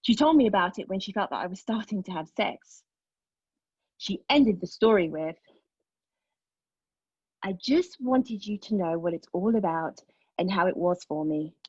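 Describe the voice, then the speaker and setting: A husky-voiced, anguished woman, pregnant and bitterly sardonic, acted by a woman at a play reading. She speaks her monologue with raw, deliberate intensity at a middle pitch. The recording is dry and slightly dull. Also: expressive, a little mellow, dry recording. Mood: pained, reflective. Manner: intense, deliberate, emotionally exposed delivery. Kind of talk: dramatic monologue at a table read.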